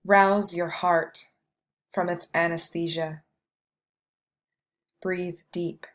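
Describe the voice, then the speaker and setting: A woman guiding a meditation with scripted, poetic narration, delivered in a measured way with long pauses. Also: lowish voice, level tone. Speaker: meditation guide.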